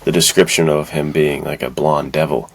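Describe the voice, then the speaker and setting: Man, very deep voice, podcast co-host, informal film discussion, enthusiastic and opinionated. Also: flat delivery, moderate pace, fairly bright tone.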